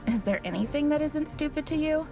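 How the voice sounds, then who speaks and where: An earnest superhero policewoman for a comic-style brawler game. Her voice sits high, with a creaky edge, over clear background music. She sounds like someone keen to share her patrol online. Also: animated, a little fast. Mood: annoyed. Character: superhero cop woman, earnest, social-media minded, narrating her patrol. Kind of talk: comic-book game cutscene voice acting.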